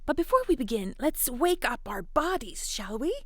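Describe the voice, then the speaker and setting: A woman's voice: high-pitched, moderate pace, no echo, clean studio sound. A woman telling an interactive fairy tale to children in a playful, encouraging, chatty way. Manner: encouraging, animated, chatty asides to young listeners. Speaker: storyteller, host of interactive children's fairy tales.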